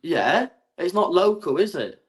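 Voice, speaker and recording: A man's voice: high voice, big pitch swings, a little slow. A man from England arguing his side in a heated debate, stammering and repeating his words. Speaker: guest.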